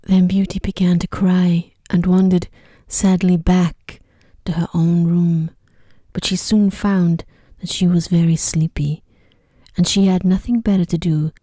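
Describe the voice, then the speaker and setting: A woman reading a sleep story in ASMR style, with a soft, whispery voice and a gentle, hushed delivery. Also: lowish voice, level tone, measured pace, no room sound, clean studio sound.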